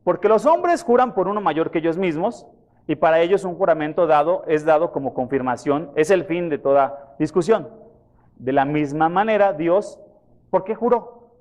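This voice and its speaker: A pastor preaching a sermon, questioning his congregation and retelling scripture in a lively way. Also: on the high side, moderate pace. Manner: questions the congregation, repeats references for them. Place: church.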